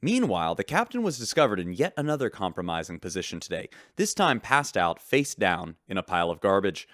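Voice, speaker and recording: An affable shipboard newsman for a sci-fi radio drama. His low voice moves in big, animated swings, earnest and newsy, very close and dry with music under it. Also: a little fast, no echo. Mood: earnest newscaster. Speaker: radio host. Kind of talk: scripted radio news narration in sci-fi audio drama.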